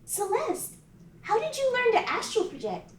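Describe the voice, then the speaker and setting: A man reading the part of a shy young girl who speaks in a hesitant, soft way. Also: extremely high pitch, huge pitch swings, normal speed, echoey room, far from the mic, a little hiss or hum, voice pushed up, exaggerated voice.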